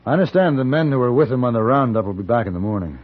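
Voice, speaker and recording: An actor playing a calm, protective, commanding hired gunfighter in an old radio western. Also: male voice, mid-range voice, racing, natural voice. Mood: grave. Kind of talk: 1950s radio western acting. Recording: dull, noisy old radio recording.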